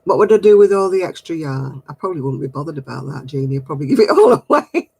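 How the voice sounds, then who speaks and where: A man's voice: fairly high pitch, sing-song, fast talker, vocal fry, a little mellow, breathy edge, no echo. A middle-aged man, hosting a casual craft livestream and chatting with viewers in a giggly, rambling way. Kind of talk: casual chat answering viewer comments.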